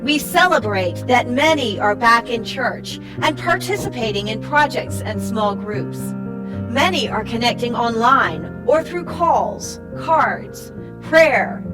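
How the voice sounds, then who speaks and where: High-voiced woman narrating over music. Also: lively intonation, slow pace. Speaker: narrator, church project team member. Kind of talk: scripted narration of a church summary. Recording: music playing behind the voice.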